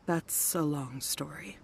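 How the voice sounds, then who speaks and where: A woman's voice: deep voice, noisy background, full character voice. A woman playing a worried, weary wife and mother in a video game. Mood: weary, wistful. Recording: clear music behind the voice.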